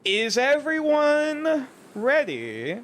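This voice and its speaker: A man's voice: very high-pitched, huge pitch swings, extremely slow, fairly bright tone, a little hiss or hum. A man running a tabletop game as dungeon master, laughing often and swearing casually.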